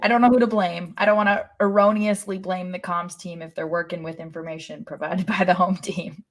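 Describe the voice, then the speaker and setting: A woman's voice: fairly low pitch, fairly even intonation, fairly quick. A woman co-hosting a sports podcast with casual, conversational commentary.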